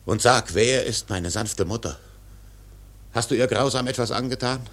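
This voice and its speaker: An actor playing an obsessed, defiant old whaling captain in a radio drama, solemn and declamatory, with a gravelly, husky voice. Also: male voice, mid-range voice, moderate pace, a bit bright, lightly acted. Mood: fierce, exalted. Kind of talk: literary radio drama monologue.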